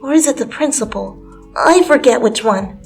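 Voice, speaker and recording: A woman voicing an excitable, chatty, insistent second-grade girl in a dramatic story reading. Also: fairly high pitch, moderate pace, vocal fry, voice pushed up. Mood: confiding. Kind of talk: dramatic fan fiction reading. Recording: music under the voice.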